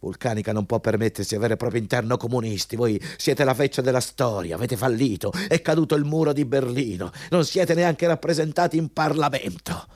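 An actor playing a bullying, scornful company recruiter in a radio drama, dismissive and contemptuous. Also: male voice, medium pitch, a little fast, hardly any pauses, a little mellow, no room sound, studio quality, lightly acted. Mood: contemptuous anger. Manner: scornful, dismissive, barking questions. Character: contemptuous, bullying male company recruiter, foul-mouthed anti-communist.

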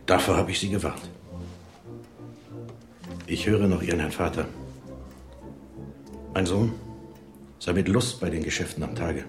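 An actor playing a cautious older business partner in a radio drama, reproachful and admonishing in a grave, solemn way. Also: male voice, sits low, measured pace, long pauses. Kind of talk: German radio drama acting. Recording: clear music behind the voice. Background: music.

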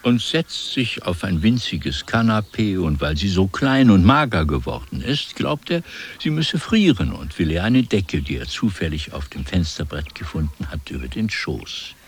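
A man's voice: low voice, very animated, moderate pace, hardly any pauses, husky, heavily acted. A man narrating a German radio play in a literary, scripted storytelling style.

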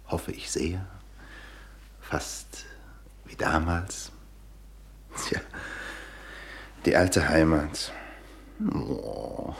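Deep-voiced courteous gentleman guest. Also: full character voice. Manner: genteel, hesitant, flattering. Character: courteous gentleman guest, old acquaintance of the wife. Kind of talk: German radio play acting.

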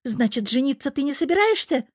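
Narrator doing a shrill, hot-headed young woman. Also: big pitch swings, brisk, a little mellow, no room sound, clean studio sound. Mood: accusing. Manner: shrill, combative, scolding.

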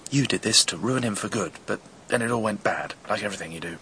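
A man's voice: on the low side, quick pace, bright, forceful tone, a little hiss or hum. An actor playing an anxious young man in a radio drama, conversational but edgy. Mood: bitter, mocking. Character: young man, anxious lover of a determined woman.

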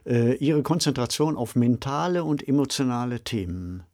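A man's voice: mid-range voice, expressive, normal speed, a little mellow. A man hosting a conversational interview, putting questions and comments to a guest. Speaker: interviewer, podcast host.

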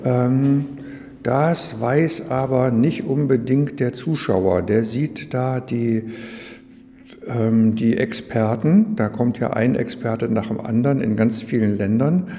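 A man explains things informally, like a guide in an exhibition. His voice is mid-pitched and mellow, carried by the long reverb of a large indoor room. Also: takes it slowly, a little hiss or hum. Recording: long hall reverb, muted tone.

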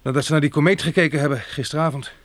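An actor playing a sober, polite survivor who narrates and speaks in a radio drama. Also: male voice, fairly high pitch, steady tone, very fast, no echo, close to an everyday voice. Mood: polite, concerned. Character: polite, sober sighted survivor recounting a catastrophe.

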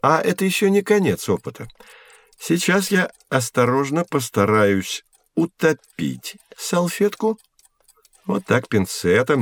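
A man playing a patient, genial science professor who explains in a measured, didactic way in a scripted educational audio drama. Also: mid-range voice, dry recording, exaggerated voice. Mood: patient, demonstrating.

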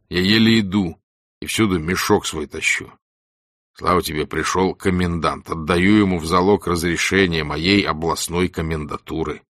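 A man voicing a gruff, wry, ailing ex-camp exile who tells things in animated colloquial speech. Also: deep voice, no echo, studio quality. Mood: wry recounting.

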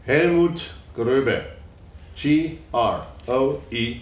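A man's voice: medium pitch, lively intonation, drawn-out words. An actor voicing a male police informant, giving short matter-of-fact answers under questioning in a documentary reenactment. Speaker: informant testifying in court. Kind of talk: documentary reenactment of court testimony. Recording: echoey room with faint noise.

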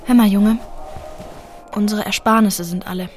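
A woman's voice: medium pitch, steady tone, moderate pace, darkish tone, soft background music, natural voice. A woman playing a spirited, practical, idealistic young pregnant wife in a German radio drama, speaking plainly and with emphasis. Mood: earnest, practical.

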